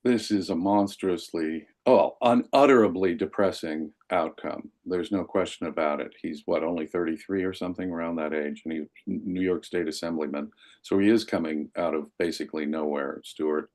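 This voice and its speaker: A man's voice: low-pitched, normal speed, darkish tone. A man speaking as a guest on a political podcast, emphatic and wordy, heard over a video call. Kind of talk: political commentary chat.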